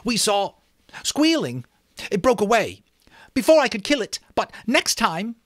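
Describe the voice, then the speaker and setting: A man reading a novel aloud, voicing an excitable, aggressive schoolboy hunter who talks loudly and challengingly. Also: high-pitched, a little slow, no room sound, clean studio sound, pitched above the performer's own voice, full character voice. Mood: fierce, eager. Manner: loud, eager, challenging. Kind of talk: amateur dramatic reading of a novel.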